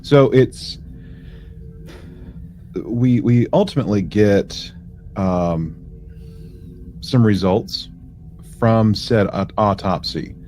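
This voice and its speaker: Low, slow-talking man, halting delivery. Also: warm tone, soft background music. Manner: halting, piecing phrases together slowly. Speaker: podcast co-host. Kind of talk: casual episode recap.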